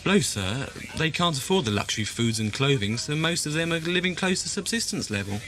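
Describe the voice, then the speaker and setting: A man playing a dutiful, chatty starship officer in a science fiction audio drama, reporting crisply and formally. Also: fairly high pitch, big pitch swings, fairly quick, fairly bright tone. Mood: wry, informative. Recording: music-backed amateur 1980s audio drama. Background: music.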